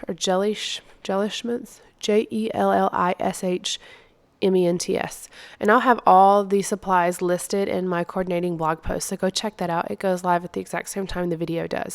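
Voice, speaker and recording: An American woman with a stuffy, congested voice gives a low-key, casual voice-over as a craft video host. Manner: low-key, not very peppy. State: congested from being under the weather.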